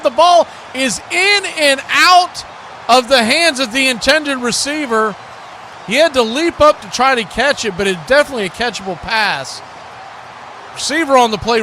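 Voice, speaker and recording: A man's voice: very high-pitched, sharp tone, slight background noise. A man hosting a livestream, calling football play-by-play and chatting casually with viewers. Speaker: livestream host and play-by-play commentator.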